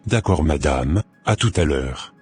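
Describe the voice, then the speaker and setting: A man playing a formal, polite hospital doctor in an amateur cartoon, with a very rough, husky voice. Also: rumbling low, animated, unhurried, a little mellow, faint music behind. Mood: grave, apologetic.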